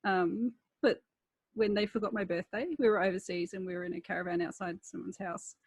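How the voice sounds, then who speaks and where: A woman with a husky, slightly rough voice, recorded close and dry. She chats candidly and tells personal stories with wry self-deprecation. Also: mid-range voice, fairly even intonation, a little fast, no room sound. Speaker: writer in conversation. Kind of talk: casual, candid anecdotal chat.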